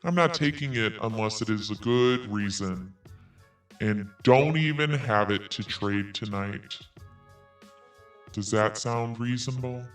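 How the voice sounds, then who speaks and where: A man's voice: on the low side, unhurried, recorded from a distance, soft background music. A man hosting a commentary podcast, reading messages aloud in a sarcastic tone and swearing freely. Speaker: podcast host.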